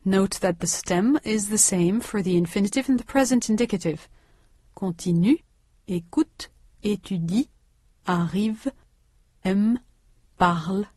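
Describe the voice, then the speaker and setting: Woman, course narrator, slow precise reading, dry close studio sound. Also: mid-range voice, warm tone, no echo. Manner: slow, careful, precise enunciation. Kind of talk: scripted instructional reading.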